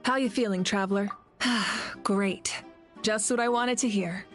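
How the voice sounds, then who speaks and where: A woman voicing a confident, warm female fire archon and war leader in a video game, assured and stately. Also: mid-range voice, fairly even intonation, measured pace, faint music behind, close to an everyday voice.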